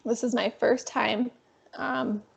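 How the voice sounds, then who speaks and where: A young woman in her twenties with a raspy voice, a guest telling her story in a casual, animated interview. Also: fairly high pitch, takes it slowly. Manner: animated, frequent fillers. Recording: dull lo-fi sound.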